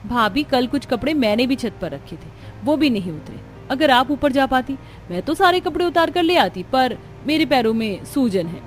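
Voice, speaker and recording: Work-shy wheedling sister-in-law, voiced by a woman, higher pitched. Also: animated, normal speed, soft background music.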